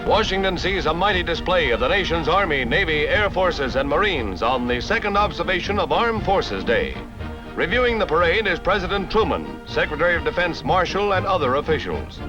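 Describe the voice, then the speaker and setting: American guy doing dramatic 50s newsreel narration, kinda high voice, big music behind, dull old film sound. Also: sing-song. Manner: brisk, dramatic announcer delivery. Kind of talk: 1950s theatrical newsreel narration.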